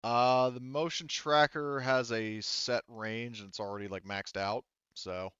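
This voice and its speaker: A man's voice: mid-range voice, fairly even intonation, a little slow, a bit bright, clean tone, no room sound, lo-fi sound. A man casually running a tabletop roleplaying game as game master, thinking aloud in an informal way.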